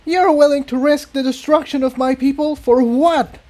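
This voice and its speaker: Man as a waspish high-voiced female unicorn. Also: steady tone, moderate pace. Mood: waspish, angry. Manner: waspish, forceful. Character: sharp-tempered female unicorn, defensive of her people.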